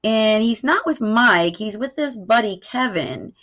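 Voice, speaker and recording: A woman's voice: fairly high pitch, lively intonation, a little slow, fairly bright tone. A woman teacher explaining a recorded lesson in an informal way, checking in as she goes. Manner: informal, explanatory, frequent "all right" check-ins. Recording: dull, lo-fi audio.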